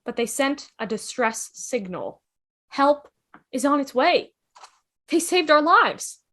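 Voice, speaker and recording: A woman playing a quick-thinking, reassuring time-travelling adventurer in a fan table read of a TV drama. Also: high-pitched, sing-song, sharp tone, exaggerated voice. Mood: apologetic, reassuring. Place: online video call.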